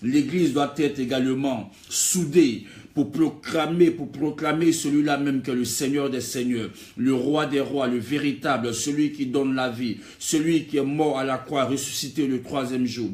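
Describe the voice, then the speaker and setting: A man's voice: mid-range voice, fairly even intonation, normal speed, hardly any pauses, darkish tone. A man preaching an exhortatory sermon in an emphatic way, building on repeated rhetorical phrases. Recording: echoey, reverberant room.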